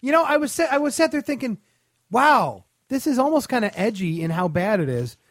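A man's voice: very high voice, big pitch swings, a little fast, no echo, clean studio sound. A man co-hosting a podcast, sharing opinions on entertainment in casual conversation. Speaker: podcast co-host.

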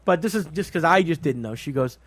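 A man's voice: a little high, lively intonation, a little fast, no echo. A man co-hosting a casual movie discussion, agreeable and conversational, often chiming in. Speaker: podcast co-host.

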